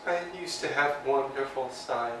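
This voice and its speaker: An actor playing a helpless, bewildered blind man in a staged play reading, crying out pitifully in drawn-out words. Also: medium pitch, a bit bright, natural voice. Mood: bewildered, feeble. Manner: plaintive cries for help, drawn-out words. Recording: very echoey room, distant mic, faint noise.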